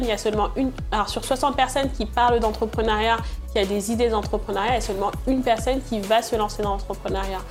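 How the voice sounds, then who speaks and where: Young woman, interview answers, lots of euh and restarts, music in the back. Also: a little high. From Senegal, born in Congo, raised in France. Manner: hesitant, many fillers and restarts. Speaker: entrepreneur and channel creator.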